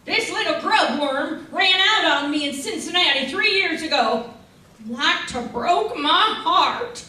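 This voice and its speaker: A woman's voice: very high-pitched, animated, unhurried, pushed and bright, full character voice. A woman playing a brash, hot-tempered, boastful gunslinger with a folksy drawl in an old-time radio western. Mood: indignant, wry. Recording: very echoey room, distant mic.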